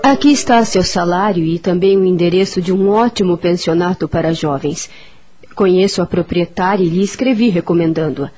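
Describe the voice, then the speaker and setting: A woman's voice: fairly low pitch, moderate pace, mellow, faint music behind, natural voice. An actress with a Brazilian accent playing a formal, fair-minded woman doctor in a radio soap opera. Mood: businesslike. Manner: formal, businesslike.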